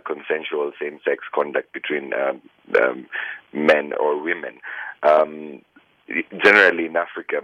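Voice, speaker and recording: A man with a strikingly deep, low voice answers interview questions over a tinny phone line. He speaks earnestly, pausing on fillers and restarting his phrases as he gathers his thoughts. The line is dry, with no room sound around him. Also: no room sound. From Kenya. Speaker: human rights lawyer, interviewee.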